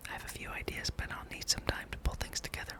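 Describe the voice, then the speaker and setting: A person whispering the lines of a wary, serious rebel plotter from a fantasy game, breathy and conspiratorial. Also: quick pace, lightly acted. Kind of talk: whispered read-aloud of fantasy game dialogue.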